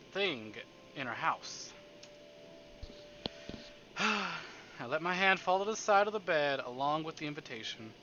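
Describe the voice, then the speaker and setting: A man streaming a visual novel, reading it aloud theatrically and deliberately, with livelier asides. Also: mid-range voice, dull audio, noisy background. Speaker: game streamer. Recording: music behind the voice. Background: game background music.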